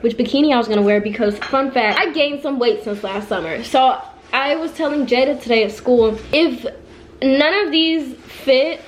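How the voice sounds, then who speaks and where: A woman's voice: sits high, moderate pace, slight background noise. A young woman in her late teens, a college student and influencer, talking casually to her camera in a rambling, playful way from her car and home. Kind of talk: casual vlog talk to camera. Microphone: camera mounted in a car.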